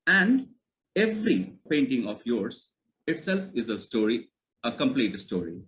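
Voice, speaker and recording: A man's voice: medium pitch, big pitch swings, unhurried, slight background noise, rough recording. A man, a Hindi speaker, interviewing and thanking his guest in a polite, formal way. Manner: polite, formal, many soft affirmations.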